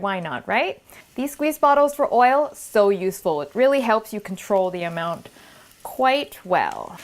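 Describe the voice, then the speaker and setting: A woman's voice: a little high, a bit bright, a little hiss or hum. A woman hosting a cooking video, chatting casually and encouragingly as she cooks at the stove in a kitchen. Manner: chatty, encouraging, thinking aloud while cooking. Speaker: cooking show host. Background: food sizzling in a pan.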